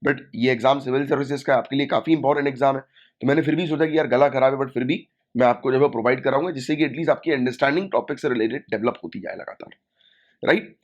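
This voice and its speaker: A man's voice: medium pitch, fast. A man with a sore throat, teaching an exam-prep lesson online and repeating key points with a checking "right?". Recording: dull lo-fi recording.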